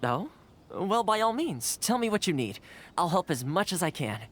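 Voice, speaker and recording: A poised, knowing game character with a low voice. Her delivery is lively yet composed and obliging, with faint noise behind. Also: moderate pace. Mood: composed, helpful. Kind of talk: video game cutscene acting.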